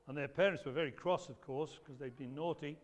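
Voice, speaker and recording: A man with a loud voice, preaching an animated talk to children at a church service. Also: fairly high pitch, fairly quick. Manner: lively, emphatic, storytelling to children. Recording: echoey hall reverb.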